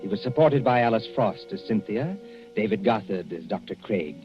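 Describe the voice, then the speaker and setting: A man's voice: on the low side, animated, moderate pace. A man reading scripted credits as a 1940s radio announcer. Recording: dull vintage radio broadcast recording. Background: music.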